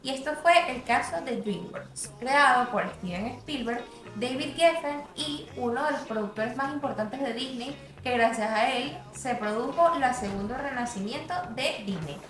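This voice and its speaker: A woman's voice: a little high, moderate pace, hardly any pauses, a bit bright, roomy sound, low-quality recording. A woman reading a scripted narration for a student video essay.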